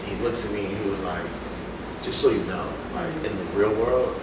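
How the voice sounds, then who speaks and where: A young man telling stories casually in an interview as a rapper, with animated, slangy talk and acted-out quotes. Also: on the low side, moderate pace. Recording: very echoey, distant, loud background noise.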